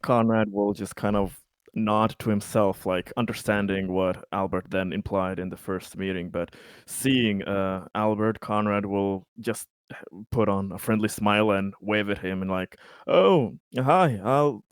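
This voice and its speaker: Male roleplayer, lowish smooth voice, slow and hesitant, narrating his character, dry close recording. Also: fairly even intonation, dry recording. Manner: hesitant, searching for words. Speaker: tabletop game player. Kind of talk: third-person roleplay narration.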